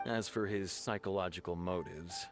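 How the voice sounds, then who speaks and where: A serious male law officer in a video game played by an actor, with a fairly low voice. He lays out his case formally and with some exasperation, with music clearly behind him. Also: steady tone, moderate pace, natural voice. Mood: exasperated, assured. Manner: formal, explanatory. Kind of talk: video game dialogue acting.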